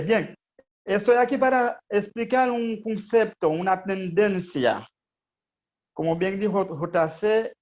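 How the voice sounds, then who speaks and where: A man's voice: sits high, a little mellow, dull audio. A Haitian man whose first language is Haitian Creole, giving an earnest motivational business presentation in Spanish-accented speech with frequent hesitations and fillers. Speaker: network marketing presenter.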